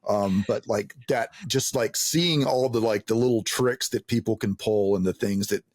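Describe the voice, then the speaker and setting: A man with a husky, somewhat low voice chats casually as a podcast host. The recording is dry and close. He circles his point, often rephrasing halfway through a thought. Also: moderate pace, darkish tone, no room sound. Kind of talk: casual conversational interview.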